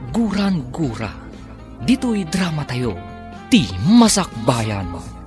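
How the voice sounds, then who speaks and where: A man's voice: fairly high pitch, big pitch swings, takes it slowly. A man with an Ilocano accent giving dramatic scripted narration as the announcer of a radio drama. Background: music.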